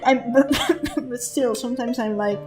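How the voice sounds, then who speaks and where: A woman's voice: a little high, steady tone, moderate pace, music in the background. A woman streaming a game, thinking aloud in a hesitant, casual way and laughing often. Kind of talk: gameplay commentary.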